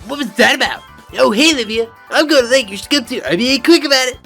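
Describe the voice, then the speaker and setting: Man as a young game rival, very high, rapid, impatient, blustering. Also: creaky, a bit bright, faint music behind, heavily acted. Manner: hurried, exclamatory. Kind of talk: video game character reel.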